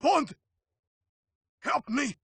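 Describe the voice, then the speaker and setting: A man playing a desperate, frantic villain begging for his life in a strained, gravelly voice, in a game cutscene. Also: very high voice, animated, drawn-out words, fairly bright tone, no echo, studio quality, exaggerated voice. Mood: panicked pleading. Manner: pleading, frantic. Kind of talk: video game cutscene acting.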